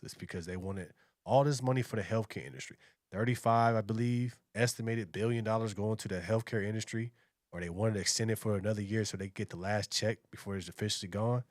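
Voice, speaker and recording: American male reaction host: low pitch, dry close sound, colloquial and opinionated. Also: no room sound. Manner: colloquial, slangy, conversational delivery. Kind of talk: casual opinionated news commentary.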